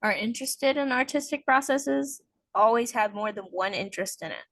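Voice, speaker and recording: A woman's voice: fairly high pitch, moderate pace, a bit bright. A woman hosting a podcast, chatting casually with a guest and often filling her sentences with "like.". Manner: chatty, many "like" fillers. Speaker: podcast host. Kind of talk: casual interview chat.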